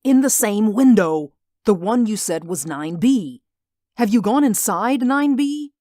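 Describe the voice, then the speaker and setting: A man's voice: high-pitched, animated, a little slow, darkish tone, no echo, studio quality. An actor playing an anxious, insistent man who keeps seeing something in a window, in a 1940s radio drama. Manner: earnest and insistent. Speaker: worried neighbor.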